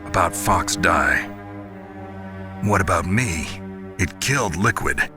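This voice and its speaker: An actor playing a stoic, world-weary covert soldier in a video game, with terse, dry and solemn delivery. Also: male voice, deep voice, lively intonation, takes it slowly. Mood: serious. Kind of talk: dramatic video game acting. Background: music.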